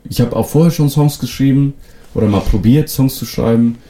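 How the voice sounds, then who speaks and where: A young man from the North Sea island of Föhr whose first language is North Frisian, answering interview questions in a relaxed way with many fillers and restarts. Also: mid-range voice, brisk. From Föhr, northern Germany. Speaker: musician guest.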